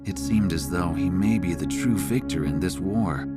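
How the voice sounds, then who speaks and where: An actor playing a reflective, world-weary war reporter who narrates a game's story in measured, literary phrases. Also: male voice, low-pitched, lightly acted. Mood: reflective, grave. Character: male war correspondent, reflective and world-weary, recounting events. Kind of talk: scripted first-person game story narration. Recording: music behind the voice. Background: music.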